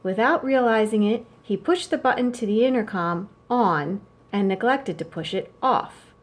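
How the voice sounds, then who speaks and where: A woman's voice: medium pitch, animated, normal speed, lightly acted. Stiff, exasperated chauffeur read by a woman. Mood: dry exasperation. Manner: stiff, deferential, dryly fussy. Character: formal, exasperated male chauffeur reporting to his madam.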